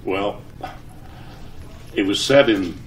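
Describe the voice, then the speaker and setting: A man's voice: fairly low pitch, slow. An older man, a retired announcer, giving a live talk of anecdotes and reminiscences to fellow residents. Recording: echoey room, distant mic, faint noise.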